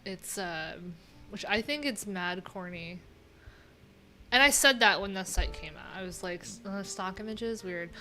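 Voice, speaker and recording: A woman streams from a small room at home with faint noise behind her. Her voice sits at an average pitch. She talks in an offhand, wry way, thinking aloud as she goes through what is on her screen. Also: unhurried, pushed and bright. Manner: offhand, wry, chatty asides while reading screen. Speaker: livestream host and web developer. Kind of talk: casual live coding stream chat, thinking aloud.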